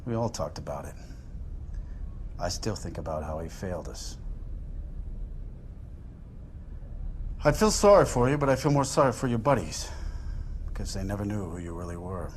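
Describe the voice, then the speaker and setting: An actor playing a bitter, confrontational interrogator who accuses a soldier with sardonic hostility in a military game cutscene. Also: male voice, low-pitched, very animated, brisk, a little hiss or hum. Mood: bitter, low.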